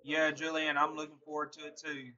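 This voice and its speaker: A man's voice: on the high side, completely flat delivery, brisk, sharp tone, dull audio. A man from Mississippi with a Southern accent, casually chatting with viewers on a live stream and answering them by name, laughing often. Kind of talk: casual chat reading viewer comments.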